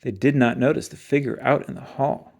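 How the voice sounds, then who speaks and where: A storybook reader giving an expressive children's read-aloud narration. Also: medium pitch, fairly quick, gravelly.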